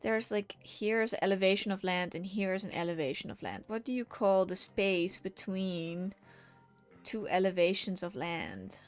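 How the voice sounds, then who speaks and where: Brief: woman streamer, mid-pitched, murmurs and half-whispers over her drawing, faint music behind. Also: measured pace, smooth. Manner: soft, murmuring to herself, often half-whispered; chatty, reacting to chat with laughs. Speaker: streamer painting live. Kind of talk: casual chat while drawing.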